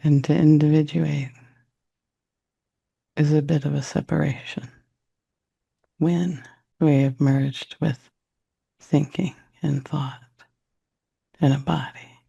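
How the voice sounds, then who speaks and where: A man leading a guided meditation in a soft, unhurried, reflective way, with long pauses and a gravelly, husky voice. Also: on the high side, flat delivery, muffled recording.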